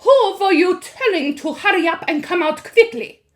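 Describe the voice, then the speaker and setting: A woman voicing a cruel, imperious female witch leader who snaps her commands loudly. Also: extremely high pitch, expressive, normal speed, pitched above the performer's own voice. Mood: suspicious. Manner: snapping, commanding, loud.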